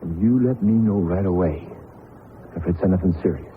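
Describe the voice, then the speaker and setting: Big young athlete son man acting, deepish earnest voice, brooding radio drama style, tinny recording. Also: a little fast, a little hiss or hum, lightly acted. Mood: insistent. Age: young adult. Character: earnest, modest giant young basketball star son.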